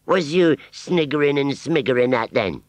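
A man's voice: mid-range voice, lively intonation, no echo, voice pushed up, lightly acted. A man voicing a simple, bumbling male scarecrow in a storybook reading, speaking in a rustic country dialect and stammering as he goes. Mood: puzzled. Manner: slow, hesitant, stammering.

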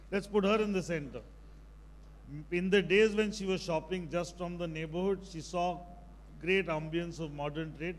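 Emphatic panelist. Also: male voice, a little high, a little hiss or hum. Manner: emphatic, storytelling. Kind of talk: conference panel discussion.

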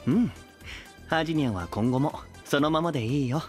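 A male actor playing a serene, lofty young idol in an audio drama, gentle and composed. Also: fairly high pitch, a little fast, soft background music, natural voice. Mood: serene, affectionate. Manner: gentle, composed, refers to himself by name.

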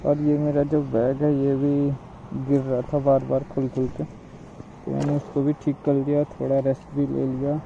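A man chatting casually as he rides a motorcycle on highways, talking his ride through for his channel and thinking aloud as he goes. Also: monotone, moderate pace, vocal fry, phone-quality sound. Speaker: motovlogger, channel host. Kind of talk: casual running commentary while riding a motorcycle. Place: outdoors on a motorcycle on highways and city roads. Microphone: cheap camera setup mounted on the bike or helmet. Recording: heavy wind and traffic noise. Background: road traffic and engine noise.

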